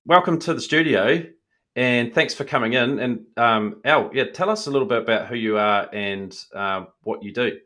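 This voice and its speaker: A man's voice: mid-range voice, moderate pace, sharp tone. A man with a New Zealand accent hosting a business podcast in a studio, with an upbeat, enthusiastic delivery. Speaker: podcast host, business coach. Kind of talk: scripted podcast intro and interview.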